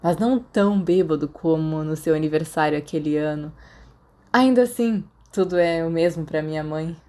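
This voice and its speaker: A woman's voice: sits low, moderate pace, darkish tone, natural voice. A woman voicing a tipsy young man in an audiobook, rueful and bitter as he rambles in slang. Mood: apologetic. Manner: slangy, self-deprecating, drunken rambling. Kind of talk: audiobook character dialogue.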